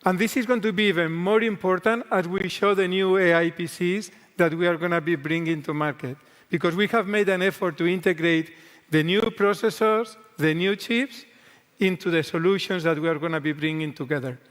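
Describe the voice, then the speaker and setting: A man's voice: high voice, fairly quick, a bit bright. A middle-aged man with a strong Spanish accent, a native Spanish speaker, talking earnestly as a guest executive on a keynote stage, with some restarts. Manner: earnest, with restarts in second-language English. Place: large convention hall stage. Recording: echoey large hall.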